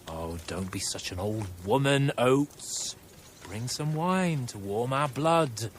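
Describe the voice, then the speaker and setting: A man's voice: mid-range voice, expressive, slow pace, a little hiss or hum. A man playing a brash, carefree young nobleman in a video game, with a cocky, theatrical, exclamatory delivery. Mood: cocky, impatient.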